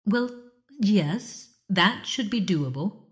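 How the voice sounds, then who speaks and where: A woman's voice: mid-range voice, lively intonation, slow. A woman playing an earnest orphanage healer. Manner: earnest, a little breathless.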